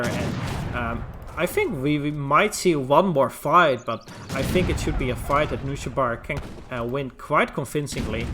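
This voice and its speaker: A man's voice: fairly high pitch, noisy background. A Dutch man with a Dutch accent doing solo play-by-play commentary of a strategy game, with frequent ums and restarts. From Netherlands. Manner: many fillers and restarts, chats with the live chat. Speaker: esports caster.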